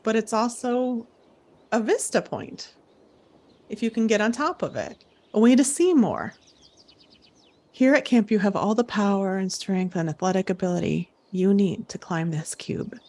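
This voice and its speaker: Female host as camp guide. Unhurried, deliberate, encouraging delivery that leads listeners through imagined scenes. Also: mid-range voice, darkish tone. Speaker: host, playing a camp director guiding campers. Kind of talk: guided imagery coaching, storytelling.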